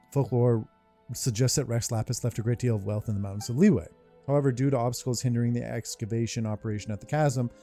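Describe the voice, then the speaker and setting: Guy doing a casual game playthrough, mellow offhand voice, reads stuff out, faint music behind. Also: medium pitch. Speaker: gaming video host. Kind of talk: casual game playthrough commentary, reading text aloud.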